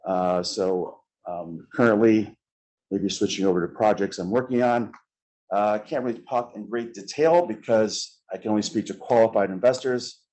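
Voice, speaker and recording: A middle-aged man introducing himself as a panelist at a conference table, measured and filled with "uh" pauses. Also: low-pitched, darkish tone, rough recording. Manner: measured, many "uh" fillers, gestures with hands.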